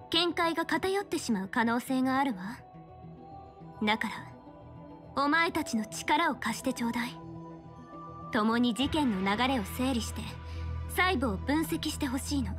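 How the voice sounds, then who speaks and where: An actress playing a stern young fortune-teller official in a game, speaking in a formal, measured, authoritative way. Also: female voice, high-pitched, expressive, music in the background. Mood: serious, measured. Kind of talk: scripted game character dialogue in Japanese dub.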